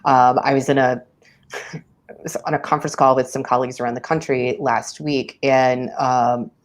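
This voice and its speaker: A woman in her forties, a public radio director, talking candidly in a casual interview, laughing often and filling pauses with ums. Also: a bass voice, steady tone.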